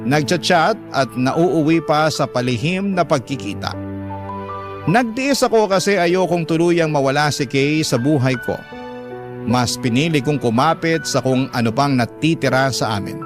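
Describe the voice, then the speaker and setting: A man's voice: a little high, lively intonation, normal speed, natural voice. A man playing a wounded, lovelorn man narrating his heartache for a radio drama, confessional and aggrieved, with a Filipino accent. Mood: resigned. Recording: music clearly under the voice.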